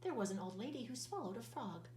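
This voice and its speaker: A woman's voice: on the high side, a little hiss or hum. Woman teacher, children's read-aloud, bouncy sing-song voice, roomy echo, a bit far from the mic. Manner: lively, sing-song storytelling with drawn-out words.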